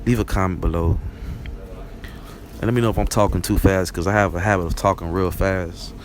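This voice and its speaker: A man's voice: low-pitched, steady tone, fairly quick, a little hiss or hum. Rambling Barbadian man, casual monologue. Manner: rambling, conversational, frequent "you know what I'm saying". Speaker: vlog host.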